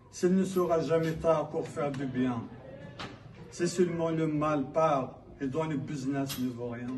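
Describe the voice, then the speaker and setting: A man from Kabylia in Algeria declaiming his own poetry in a solemn, measured manner. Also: a little high, a little mellow. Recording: distant mic, faint background noise.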